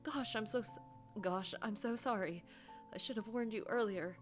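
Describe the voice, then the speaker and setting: A woman voicing a gentle, apologetic divorced man in a story game, concerned and prone to stammering. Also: mid-range voice, moderate pace, faint music behind, close to an everyday voice. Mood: worried.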